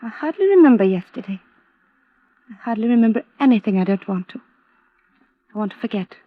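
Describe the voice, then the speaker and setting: A woman's voice: fairly high pitch, animated, fairly quick, no echo. A woman playing a bitter, distraught young stepdaughter in an intense, petulant, overwrought old radio drama style. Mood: bitter, agitated. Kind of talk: 1940s-50s radio drama acting. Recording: dull vintage radio recording.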